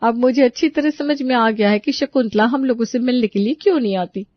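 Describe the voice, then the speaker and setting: A woman's voice: fairly high pitch, a little fast, dry recording, lo-fi sound, lightly acted. A woman voicing a gossipy, dramatic older mother-in-law type in an acted audio story. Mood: friendly, curious. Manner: complaining, dramatic. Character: exasperated, gossipy older Indian mother-in-law and her aunty friend.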